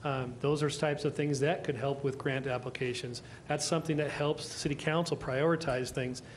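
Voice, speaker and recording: A man's voice: mid-range voice, steady tone, moderate pace, slight background noise. A man, a city official, explaining stormwater issues at a public meeting in a reassuring way. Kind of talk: answering questions at a public meeting.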